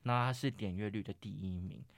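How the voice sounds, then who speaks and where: A man's voice: lowish voice, quick pace, no echo. A man with a Taiwanese Mandarin accent, hosting a casual chat in a playful, teasing manner. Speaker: podcast host.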